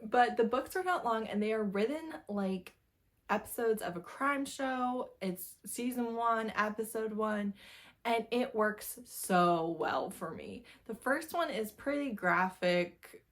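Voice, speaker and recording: A woman's voice: fairly high pitch, slow pace. A woman giving a casual, chatty book review in a candid, informal way with wry asides.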